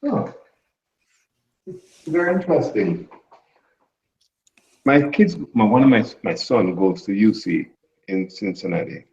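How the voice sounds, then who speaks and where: A man's voice: lowish voice, expressive, takes it slowly, creaky, long pauses, darkish tone. A man from Jamaica with a Jamaican accent, chatting casually in a group and often restarting his sentences. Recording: dull lo-fi audio.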